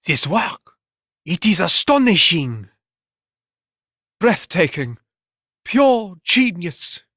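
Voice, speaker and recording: A man voicing a pompous, excitable art critic in a dramatic story reading, exclaiming with overblown fervor. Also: high-pitched, extremely slow, no room sound, clean studio sound, pitched above the performer's own voice, exaggerated voice. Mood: awed.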